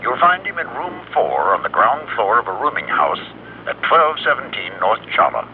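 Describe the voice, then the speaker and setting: A man's voice: medium pitch, big pitch swings, moderate pace, phone-quality sound, a little hiss or hum. An actor playing an earnest, polite, trusting man, formal and insistent, as a distant telephone voice in old radio drama.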